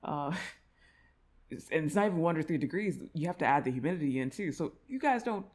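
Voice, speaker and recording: A woman's voice: low voice, animated, brisk, a little mellow. A woman audio creator talking casually to her listeners in an effusive, rambling way. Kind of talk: casual, informal outro chat to listeners.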